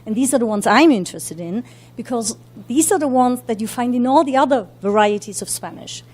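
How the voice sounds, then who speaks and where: Fast-talking woman lecturing with many fillers. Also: on the high side, lively intonation, darkish tone. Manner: talks quickly with many fillers and self-corrections. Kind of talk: academic lecture. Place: university lecture room.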